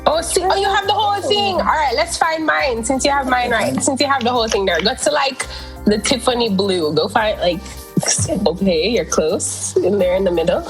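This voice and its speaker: A woman's voice: on the high side, normal speed, over music. Chatty giggly woman from Jamaica hosting. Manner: chatty and giggly, with many fillers. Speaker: podcast host and regional admin. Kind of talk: casual group video chat.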